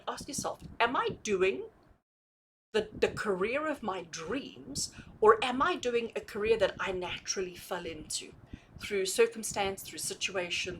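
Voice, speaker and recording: A woman's voice: mid-range voice, a bit bright, a little hiss or hum, low-quality recording. A woman giving a conversational tarot reading to viewers, emphatically repeating and rephrasing her points and checking in with questions. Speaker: tarot reader hosting a reading.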